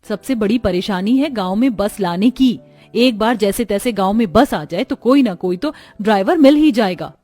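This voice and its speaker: A woman's voice: mid-range voice, expressive, normal speed, warm tone, no room sound, faint music behind, close to an everyday voice. A woman voicing an educated, resolute young city wife in a cartoon story. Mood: concerned, resolute.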